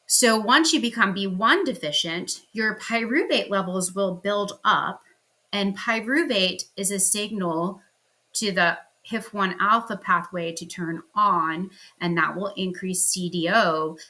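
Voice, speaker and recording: Bright-voiced woman explaining health science. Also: mid-range voice, a little slow. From Pennsylvania, US. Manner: casual and conversational, with frequent ums and likes. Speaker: health educator. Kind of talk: explanatory health lecture over slides. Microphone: home recording.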